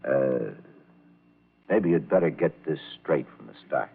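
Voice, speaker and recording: An actor playing a gruff, jealous, bossy nightclub owner in an old-time radio crime drama, with a gravelly, husky voice. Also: male voice, rumbling low, expressive, a little slow, heavily acted. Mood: curt, businesslike. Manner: blunt, bossy, menacing. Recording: tinny, narrow-band vintage radio recording.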